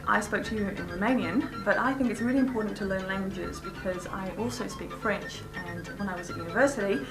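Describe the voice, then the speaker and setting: A woman teacher speaking in a lively, animated way. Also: mid-range voice, fairly quick. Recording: echoey room, music.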